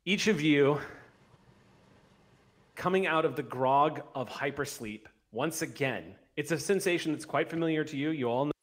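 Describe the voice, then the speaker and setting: A middle-aged man running a tabletop roleplay game as game master, narrating into a close mic with some halting self-corrections. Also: a little high. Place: indoor room, on a group video call. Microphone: microphone on an arm, close to mouth.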